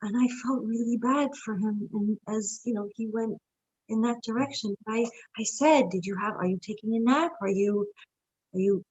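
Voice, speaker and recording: A middle-aged woman tells stories in a casual interview, lively and expressive, often breaking into laughter. Her voice sits a little high for a woman. She grew up in New York. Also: normal speed, highs cut off. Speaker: guest, stage actress.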